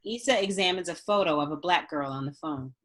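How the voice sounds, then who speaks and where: Female narrator, fairly low pitch, reading screenplay stage directions. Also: animated, a little fast, a bit bright. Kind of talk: scripted narration.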